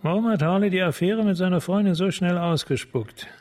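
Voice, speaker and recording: An actor playing a cool, sharp-minded maverick police detective in a radio drama, terse and skeptical. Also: male voice, high voice, a little fast, natural voice. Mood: cool, analytical.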